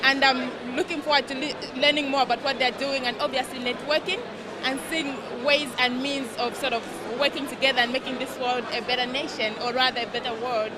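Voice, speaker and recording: A woman's voice: sits high, steady tone, a little fast, a loud crowd, very noisy. A woman from Zambia, a conference delegate speaking fluently and articulately in a vox pop interview. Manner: articulate, fluent, says "you know".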